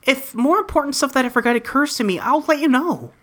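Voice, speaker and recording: Woman voicing an animated, bright rambling teenage boy. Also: high-pitched, a little fast. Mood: earnest, friendly. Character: earnest, rambling teenage boy recapping his wild adventures. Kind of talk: character dub reading of a webcomic.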